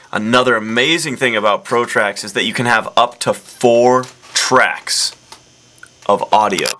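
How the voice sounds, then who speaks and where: A young man earnestly presenting a parody software demo, rambling with lots of fillers. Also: lowish voice, slight background noise. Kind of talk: deadpan parody product demo.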